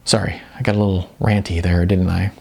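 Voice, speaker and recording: A man's voice: sits low, level tone, brisk, creaky, mellow, husky, exaggerated voice. A man voicing an earnest, frustrated woman, an aspiring actress, in an audiobook. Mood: sheepish. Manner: earnest, emphatic. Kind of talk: audiobook character dialogue.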